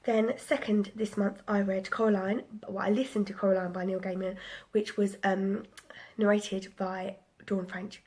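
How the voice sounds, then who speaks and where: A woman's voice: mid-range voice, level tone, moderate pace, darkish tone, husky. A woman in her twenties chatting casually about the books she read, rambling with lots of ums, a little bunged up. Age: 20s. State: a bit bunged up. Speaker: book vlogger. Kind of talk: casual book review vlog.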